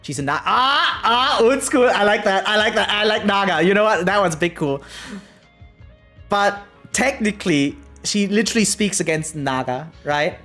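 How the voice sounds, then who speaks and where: Streamer, 30s: mid-range voice, loose chatty live commentary that rambles, soft music underneath. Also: mid-range voice, lively intonation, normal speed. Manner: rambling, keeps adding "right?". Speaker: variety game streamer. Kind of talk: casual live reaction commentary. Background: faint music.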